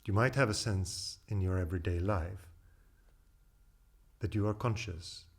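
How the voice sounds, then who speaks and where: A man leading a guided meditation as a teacher, speaking in calm, deliberate phrases with long pauses. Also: low-pitched, creaky, husky.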